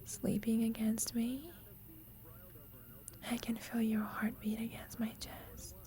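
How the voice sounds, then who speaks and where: Woman performing shy lovestruck female roommate, young, breathy whisper, mellow, hesitant, tender, intimate ASMR roleplay. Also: a little high, little pitch movement. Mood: tender, content. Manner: hesitant, slow, confiding.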